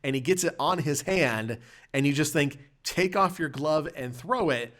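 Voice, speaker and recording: Man casually talking movies, halting and rambling. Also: fairly high pitch, expressive, a bit bright. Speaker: podcast host. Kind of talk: casual film discussion, recapping and reviewing scenes.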